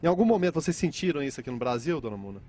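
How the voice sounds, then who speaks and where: Male interview host, Brazilian, higher-pitched voice, talks to guests and viewers in a halting, conversational way. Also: sing-song, mellow, a little hiss or hum.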